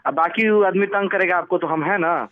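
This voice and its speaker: An eager forty-year-old Bihari suitor played by a man pleads his case in a fairly high male voice. He rambles on pushily and talks over the listener, as comic prank calls go, over a narrow phone line. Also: brisk, lightly acted. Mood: insistent. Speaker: radio prank caller.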